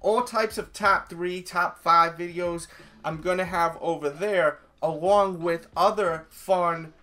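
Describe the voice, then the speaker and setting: Chatty New York man, bright voice. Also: high-pitched, fairly even intonation, slow pace, soft background music. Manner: chatty, enthusiastic, rambling with fillers. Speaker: sneaker YouTuber. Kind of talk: casual vlog talk to viewers.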